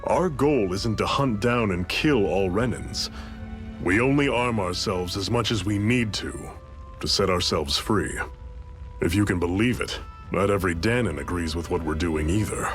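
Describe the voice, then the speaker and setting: Male actor as seasoned rebel mentor, low pitch, relaxed, folksy, fatherly, video game dialogue, light background music. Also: moderate pace, natural voice. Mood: patient, explaining. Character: seasoned rebel leader, a fatherly, easygoing older man.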